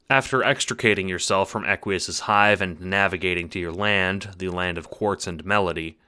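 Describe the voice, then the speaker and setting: A man reading second-person narration in an audio drama in an even, understated way. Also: deep voice. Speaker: narrator and producer.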